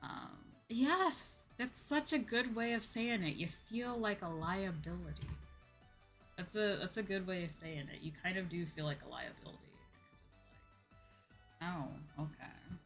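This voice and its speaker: A young woman chatting casually to viewers on a livestream in an expressive, rambling, self-deprecating way. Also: lowish voice, measured pace. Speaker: VTuber streamer. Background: faint background music.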